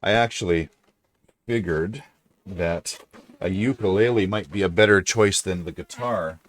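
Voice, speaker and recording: A middle-aged man with a deep voice, hosting a casual livestream chat and rambling through his thoughts with frequent restarts. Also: measured pace. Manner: rambling, self-correcting. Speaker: livestream host.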